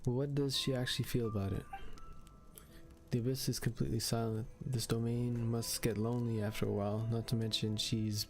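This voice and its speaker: A man's voice: medium pitch, flat delivery, a little fast, soft background music. A man reading game dialogue aloud and commenting as a gaming streamer. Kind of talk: let's play livestream, reading dialogue and commenting.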